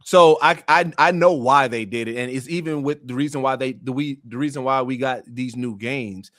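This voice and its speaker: A man's voice: medium pitch, normal speed, a bit bright, dry recording, clean studio sound. A man speaking as a podcast panelist, explaining his opinions in an emphatic, colloquial way. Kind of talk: casual pop-culture discussion.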